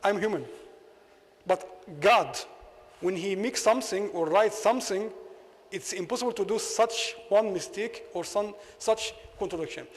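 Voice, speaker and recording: A man's voice: sits high, unhurried. An Egyptian man with Arabic as his first language, an imam giving a lecture in a mosque and pressing his points with rhetorical questions. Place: mosque hall. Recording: long hall reverb.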